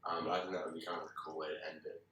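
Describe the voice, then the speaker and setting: A man's voice: a bass voice, little pitch movement, rapid-fire, pushed and bright, slight background noise. A man giving casual feedback in a workshop discussion, phrasing things loosely and hesitantly with lots of filler hedges. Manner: hesitant phrasing, hedging with many "like" and "kinda". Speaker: participant giving script feedback at a table read. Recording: echoey room, far from the mic.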